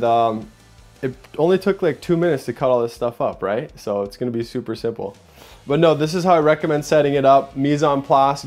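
A young man hosting a casual cooking demo in a home kitchen, chatty and upbeat, narrating each step as he works. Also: a little mellow. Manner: upbeat, chatty, says "boom" while working. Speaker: cooking show host.

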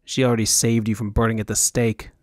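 A man's voice: fairly low pitch, level tone, fast talker, no room sound, close to an everyday voice. A man voicing an exasperated traveller in an audiobook, in a dry, matter-of-fact manner.

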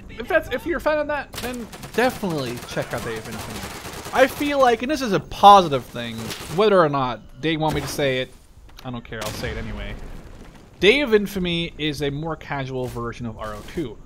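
A man's voice: on the high side, sing-song, fairly quick. A young man giving casual gameplay commentary, rambling, sweary and full of opinions. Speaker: gaming video creator giving live commentary. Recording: loud machine-gun game noise behind voice. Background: gunfire and clicks from a war game.

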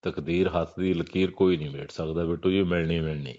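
Very deep Punjabi man, muffled phone call. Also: steady tone, a little fast, darkish tone. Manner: brief agreeing listener responses. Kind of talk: casual private phone conversation. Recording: dull recorded phone call.

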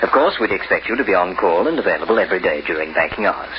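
Smooth-talking scheming bank cashier, low, formal, radio crime play, muffled recording. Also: male voice, animated, racing, background noise. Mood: polite, businesslike. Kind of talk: old-time radio crime drama acting.

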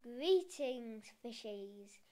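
A woman's voice: on the high side, unhurried, fairly bright tone. A woman voicing a mischievous, lazy female cat in a storybook reading, with a purring, teasing manner.

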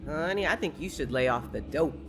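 Blunt, animated young female friend. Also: deep voice, fairly quick. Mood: sceptical. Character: sceptical, blunt, down-to-earth young woman friend. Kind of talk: audio drama acting.

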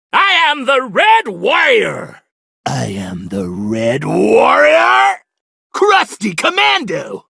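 A man voicing a zany, bombastic lobster warrior in a video game, theatrical and raspy. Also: very high-pitched, bright, forceful tone, dry recording, clean studio sound, full character voice. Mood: bombastic battle-ready. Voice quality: raspy, gravelly. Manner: theatrical, bellowed battle cries. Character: zany male lobster warrior, bombastic self-styled crustacean crusader. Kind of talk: video game character voice lines.

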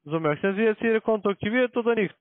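A man's voice: high voice, expressive, quick pace, no echo. A man who is not a native German speaker, making a blunt sales call in broken grammar. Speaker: call-centre account manager. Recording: narrow tinny phone line.

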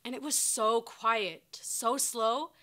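A young actress playing a heartfelt farmworker daughter recalling hardship in a dramatized monologue. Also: female voice, fairly high pitch, slow pace, bright, forceful tone. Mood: wistful. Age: young adult. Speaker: actor performing a monologue. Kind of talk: dramatized oral history monologue.

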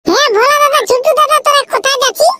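A man voicing a small, inquisitive village child in a comic cartoon, pitched up and pestering, with a Purulia Bengali accent. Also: very fast, pushed and bright, full character voice. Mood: curious. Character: small inquisitive village kid, eager to tag along.

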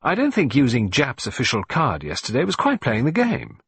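A man voicing an earnest, proper gentleman who speaks in an indignant, straight-laced way. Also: medium pitch, wildly swooping pitch, normal speed, gravelly, breathy edge, no room sound, full character voice. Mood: disapproving.

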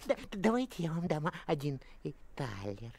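Creaky, shifty hooded schemer. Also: male voice, on the high side, big pitch swings, moderate pace, darkish tone, a little hiss or hum. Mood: pleading. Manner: shifty, stammering, wheedling. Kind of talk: animated film acting.

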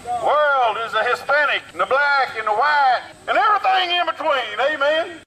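A man's voice: very high voice, animated, moderate pace, bright, forceful tone, rough recording. A man preaching in the street with fiery call-and-response energy, outdoors in a neighborhood.

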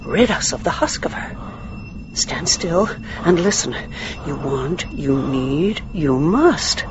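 Radio play demon: unhurried, breathy, gloating, commanding tempter, noisy recording. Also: male voice, a little high, expressive, lo-fi sound. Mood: gloating, coaxing. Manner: slow, breathy, commanding, savouring words. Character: gloating, seductive demon urging murder. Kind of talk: radio drama acting.

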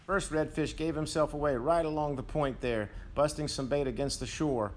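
A man telling the story of his fishing trip in a relaxed voice-over. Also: on the high side, normal speed, slight background noise. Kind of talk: recorded voice-over narration.

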